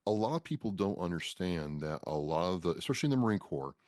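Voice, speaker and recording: A man's voice: sits low, sing-song, fast, darkish tone, no room sound, studio quality. An American man hosting a podcast interview, talking in an enthusiastic, informal way and readily jumping in. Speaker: podcast host.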